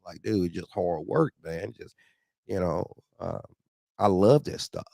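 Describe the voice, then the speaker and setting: A man's voice: deep voice, a little slow, gravelly, no echo. Slangy man hosting, dry close sound. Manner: slangy, animated anecdote telling with acted-out quotes. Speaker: call-in show host. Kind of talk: casual trucking chat and storytelling.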